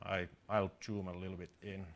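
A man's voice: low voice, level tone, moderate pace. A man in his forties with a Finnish accent, giving an informal, matter-of-fact live coding workshop as a conference speaker. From Finland. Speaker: conference speaker and programming instructor. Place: small conference seminar room with seated audience.

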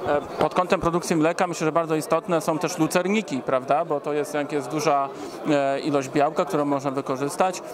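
A man's voice: fairly high pitch, fairly even intonation, brisk. A man, interviewing politely and hesitantly, often repeating words. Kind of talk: event interview. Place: interview stand at a busy indoor forum. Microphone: handheld reporter microphone. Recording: busy trade event crowd noise.

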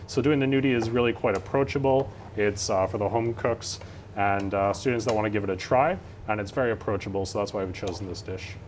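Young canadian guy cooking live, kinda low voice, says uh a lot, light background hiss. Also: a little fast. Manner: explains steadily with many "uh" fillers. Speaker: student chef. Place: large teaching kitchen studio.

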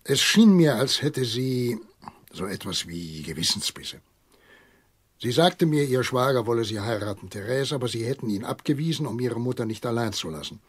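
Radio drama: old country doctor, tired, grave, probing. Husky tone, dry studio sound. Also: male voice, medium pitch, expressive, moderate pace, no room sound. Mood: firm, accusing. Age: older.

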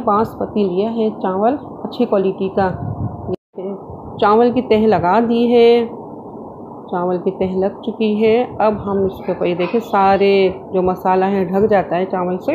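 A woman presenting a home cooking recipe, explaining each step as she does it and often repeating herself. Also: mid-range voice. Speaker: home cook hosting a recipe video. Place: indoor home kitchen. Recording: dull lo-fi phone-like home recording, clear background noise.

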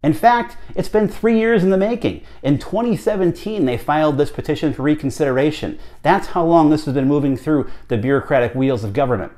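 A man's voice: a little high, brisk, hardly any pauses, a little mellow. A man in his thirties with an American accent giving conversational, emphatic commentary to camera, often posing rhetorical questions. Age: 30s. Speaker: radio hobbyist vlog host. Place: small home office, indoors.

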